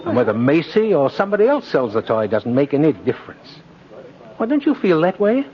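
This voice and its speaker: An actor playing a kindly, principled old department-store Santa Claus in a 1950s radio drama, gently insistent and old-fashioned in manner. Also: male voice, fairly high pitch, wildly swooping pitch, brisk. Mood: kindly, assured. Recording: dull, noisy old radio recording.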